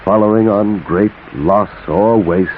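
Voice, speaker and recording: An actor playing an earnest, troubled, sceptical gentleman doctor, formal yet emotional, in 1940s radio horror style. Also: lowish voice, measured pace, lightly acted. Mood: distressed. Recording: muffled, faintly hissy old radio broadcast.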